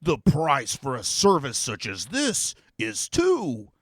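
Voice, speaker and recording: A man voicing a theatrical, greedy male demon that talks in grandiose, scornful tones, with a strained, drawn-out delivery. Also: medium pitch, wildly swooping pitch, fairly bright tone, no room sound, clean studio sound, heavily acted.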